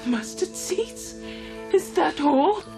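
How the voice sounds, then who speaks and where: Woman as very high-voiced pleading grieving wife. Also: animated, slow pace, creaky, over music, heavily acted. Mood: desperate pleading. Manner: pleading, deferential. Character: grieving, pleading rich young wife, humble. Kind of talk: cartoon voice acting.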